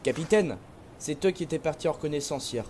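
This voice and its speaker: An actor playing a brisk soldier making a report in an audio drama. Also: male voice, fairly high pitch, animated, a little mellow, a little hiss or hum, natural voice. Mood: dutiful. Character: brisk male soldier reporting to his captain.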